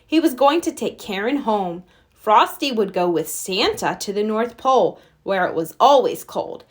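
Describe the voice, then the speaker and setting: A woman reading a children's storybook aloud as narrator, with expressive storytelling. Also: medium pitch, moderate pace. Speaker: storybook reader.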